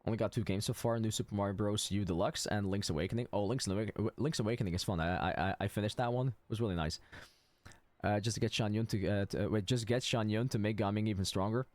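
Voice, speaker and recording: A man's voice: lowish voice, quick pace, darkish tone, no room sound. A man streaming a game with casual commentary and chat, often stammering and repeating words. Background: game music.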